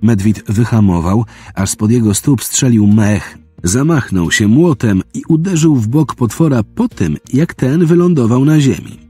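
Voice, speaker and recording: Male audiobook narrator, dramatic fantasy storytelling, dark mellow tone, slightly husky. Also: medium pitch, lively intonation, unhurried, faint music behind.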